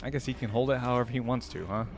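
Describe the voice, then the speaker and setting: A man's voice: mid-range voice, very fast, music in the background. A man hosting a reaction video, commenting informally and enthusiastically as he thinks aloud.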